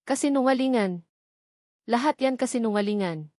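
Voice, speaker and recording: A woman's voice: a little high, lively intonation, moderate pace, darkish tone, no echo, voice pushed up, lightly acted. Woman doing a rich snobby older mother, cold and bossy, audiobook drama style, dry close sound. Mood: desperate. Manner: disdainful, imperious.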